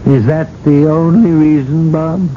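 An actor playing a dignified, patient older cardinal in a radio drama, speaking in a courteous, measured, gently ironic way. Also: male voice, a little high, fairly even intonation, close to an everyday voice. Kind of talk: 1980s radio drama acting. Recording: muffled, noisy old radio broadcast.

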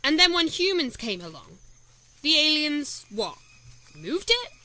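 A woman's voice: high voice, very animated, a little slow, pushed and bright, exaggerated voice, poor audio. An actress playing a sceptical young woman companion who teases and questions in a fan audio drama. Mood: wary. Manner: wry, teasing, questioning.

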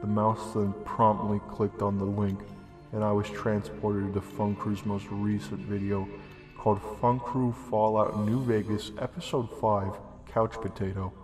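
A young man giving a deadpan, deliberate reading of a comedic horror story as narrator. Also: on the low side. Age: young adult. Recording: long reverb, music behind. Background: music.

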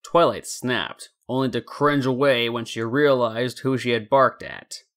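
A man's voice: mid-range voice, fairly even intonation, unhurried, fairly bright tone. A man narrating fan fiction as a scripted story.

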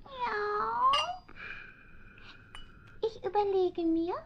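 A woman voicing a polite, cheeky and self-assured male talking cat in a radio play, speaking in a genteel, coaxing way with soft whispered asides. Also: very high voice, drawn-out words, slight background noise, full character voice. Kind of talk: vintage radio play acting. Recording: dull older lo-fi recording.

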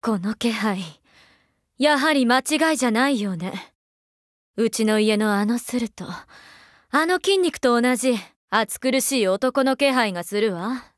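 High-voiced, doting, seductive mother figure. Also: animated, a little slow, no echo, studio quality. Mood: protective. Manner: coaxing, breathy-whispering at times, archaic feminine phrasing. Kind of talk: Japanese mobile game character voice lines.

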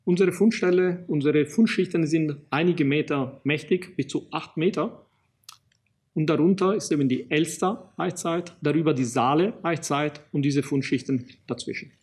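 A middle-aged man from Italy with an Italian accent, giving a public lecture as an archaeologist, pausing often with fillers and restarting sentences. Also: on the high side, a little mellow, husky. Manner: halting, many fillers and restarts. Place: small studio in front of a banner.

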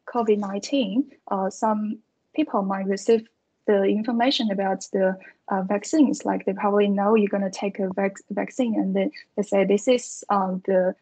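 A woman's voice: medium pitch, a little mellow, rough recording. A young woman on a panel explaining over a video call, hesitant with many fillers. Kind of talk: panel discussion answer.

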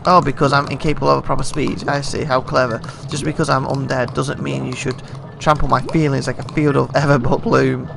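A man reading out game dialogue as a grumbling, touchy undead skeleton tinkerer, halting now and then. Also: medium pitch, level tone, gravelly, over music. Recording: noisy home game recording.

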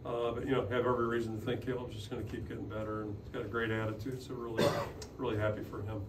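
An older man speaks as a head coach at a postconference podium, plain-spoken and full of restarts. His pitch stays flat and even. The room is echoey with steady noise behind, and the mic sits a little off. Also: fast talker. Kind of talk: postgame press conference answers. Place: press room in front of a logo backdrop. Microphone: podium microphone. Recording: roomy, slightly distant, noisy.

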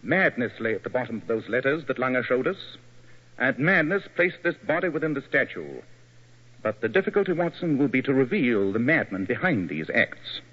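An actor playing a coolly assured British detective in an old radio drama, precise and authoritative. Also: male voice, mid-range voice, big pitch swings, normal speed, breathy edge. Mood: coolly deductive. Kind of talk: 1940s radio drama acting. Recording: muffled old radio broadcast.

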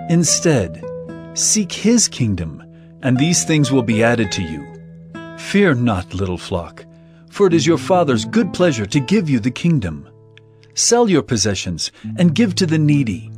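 Earnest male teacher of parables, audio Bible drama. Measured, declamatory, very expressive, faint music underneath. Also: on the high side.

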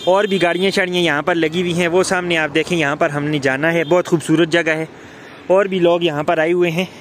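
A young man from Pakistan chatting casually to viewers in a travel vlog, filmed with a camera held at arm's length outdoors and in a car. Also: a little high. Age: young adult. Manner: chatty, addresses viewers directly. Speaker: vlogger. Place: outdoors, in a car and on a street and riverbank. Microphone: phone or camera at arm's length. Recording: noisy outdoor phone recording.

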